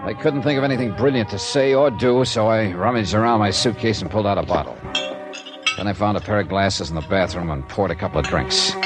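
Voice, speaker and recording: An actor playing a tough, world-weary American insurance investigator in a 1950s radio detective drama, with a dry, clipped delivery. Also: male voice, on the low side, fairly quick, over music, natural voice, rough recording. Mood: weary narrating. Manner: hard-boiled, dry, clipped. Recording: vintage radio drama recording.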